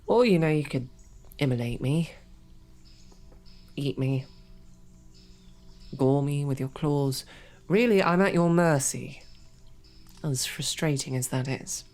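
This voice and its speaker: A woman's voice: sits low, slow, long pauses, darkish tone, soft background music. A woman voicing a captive young woman knight who talks in a dry, formal, wryly understated way, in an audio roleplay drama. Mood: dry, sardonic. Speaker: voice actor.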